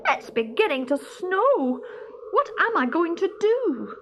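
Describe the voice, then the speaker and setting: A woman's voice: very high voice, sing-song, takes it slowly, fairly bright tone, a little hiss or hum, voice pushed up, full character voice. A woman voicing a small, worried young male stoat in a children's storybook, anxious and fretful.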